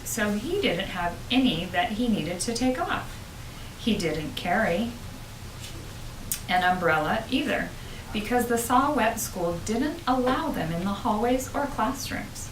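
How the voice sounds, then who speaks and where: A woman reading a children's book aloud with expressive storytelling, as a school principal would to pupils. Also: medium pitch, measured pace. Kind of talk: children's audiobook narration. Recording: roomy, distant, noisy home-style recording.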